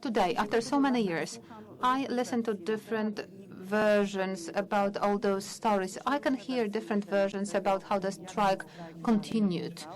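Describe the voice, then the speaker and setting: A woman's voice: medium pitch, normal speed, crowd noise. An older woman giving a personal testimony at a conference, hesitant and full of fillers and restarts. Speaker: conference speaker. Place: large conference hall. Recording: conference hall with audience noise.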